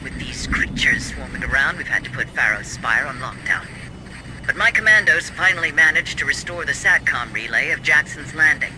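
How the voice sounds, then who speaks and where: An actor playing a polite but condescending corporate director in a sci-fi video game, speaking formally. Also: male voice, on the high side, animated, moderate pace, noisy background, lightly acted. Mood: smug, grave. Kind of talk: cinematic video game acting.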